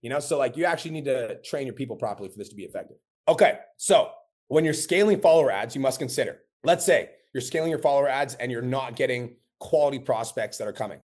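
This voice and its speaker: A man's voice: mid-range voice, fast, darkish tone. A man teaching a live coaching webinar, blunt and casual with frequent profanity. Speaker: business coach.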